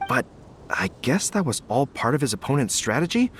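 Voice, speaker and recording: A man's voice: medium pitch, big pitch swings, a little fast, fairly bright tone, a little hiss or hum. A man voicing a weary, sighing knight in a video game who rambles a little. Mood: dejected.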